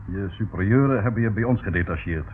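An actor playing a brisk, commanding male police chief in a Dutch radio play, authoritative yet courteous. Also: lowish voice, close to an everyday voice. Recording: tinny, band-limited old radio recording with noise.

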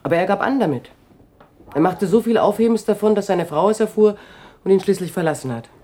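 Defiant woman, played by an actress, radio crime drama: dry sarcasm, mellow timbre, medium pitch. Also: lively intonation, fairly quick, natural voice. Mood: sarcastic, defensive.

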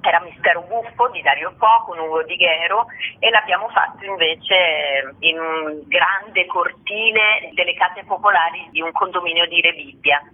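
A man speaking as an interview guest in a conversational, explanatory way, with a husky voice over a narrow phone line. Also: high-pitched, normal speed. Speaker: theatre festival guest.

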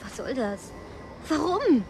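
A woman's voice: sits high, sing-song, takes it slowly, gravelly, noisy background, full character voice. An actress playing an anxious, pleading, hesitant woman in a German science-fiction radio play. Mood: alarmed, defiant. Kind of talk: German radio play acting, dramatic dialogue.

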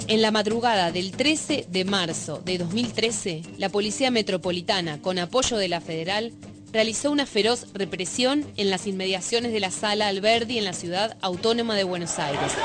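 A woman with an Argentine accent reading a scripted activist radio announcement. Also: medium pitch. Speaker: campaign spot announcer. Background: music.

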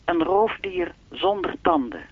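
Radio play: all-knowing keeper of time, unruffled and lecturing, high-pitched, tinny phone sound. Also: male voice, big pitch swings, measured pace, exaggerated voice. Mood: calm, lecturing. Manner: formal, lecturing, unruffled, faintly teasing. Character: enigmatic all-knowing keeper of time's audio archive. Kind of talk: radio drama dialogue. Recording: thin, dry telephone-line sound.

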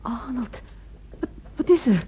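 An actress playing a worried, loving wife, tender and probing, in a radio play. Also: female voice, a little high, lively intonation, a little slow, noisy background. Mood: anxious, pleading. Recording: dull, lo-fi old radio recording.

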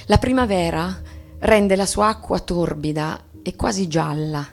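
Tragic wife, low and gravelly. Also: female voice, a little slow. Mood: solemn, tragic. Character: bourgeois wife, solemn and tragic, resolved on death. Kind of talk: theatrical monologue reading, poetic.

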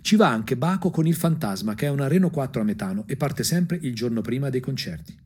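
A man with a Tuscan accent and a husky voice performs an ironic, angry, emphatic theatrical monologue for a punk radio drama. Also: medium pitch, animated, brisk, a little mellow. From Anghiari, Tuscany, Italy. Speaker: stage actor and storyteller. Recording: music under the voice. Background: music.

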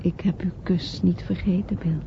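An actress playing a flirtatious married woman in a radio play, expressive and coaxing. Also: on the low side, normal speed, vocal fry. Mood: intimate, seductive. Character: flirtatious married woman fleeing London, pleading then seductive. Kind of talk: Dutch radio play acting. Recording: dull, noisy old radio play recording.

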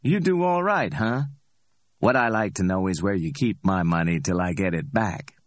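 A man's voice: deep voice, lively intonation, dry recording. A man voicing a cocky middle-aged hustler who teases and drawls his words. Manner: teasing, drawn-out, challenging.

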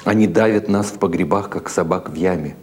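A man's voice: low-pitched, a little fast, darkish tone, close to an everyday voice. A man voicing a grim, bitter gangster in old woman's disguise, insistent and accusing, in an audiobook story. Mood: bitter, urgent.

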